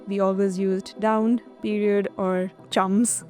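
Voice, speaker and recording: A woman whose first language is Hindi speaks reflectively and casually. Her voice is mid-range and fairly even in pitch. Soft music plays faintly underneath. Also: takes it slowly. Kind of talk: personal testimony for a video.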